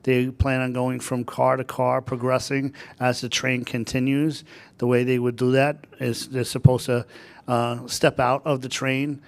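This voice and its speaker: A man giving a police crime report at a public board meeting, halting with many fillers and restarts. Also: mid-range voice, little pitch movement, vocal fry.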